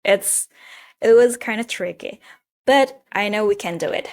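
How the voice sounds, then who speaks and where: Young indonesian-accented woman doing a chill english tutorial, mid pitch, laughs a lot, handheld mic. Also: measured pace. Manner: chatty, laughs often. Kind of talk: casual tutorial. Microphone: handheld microphone.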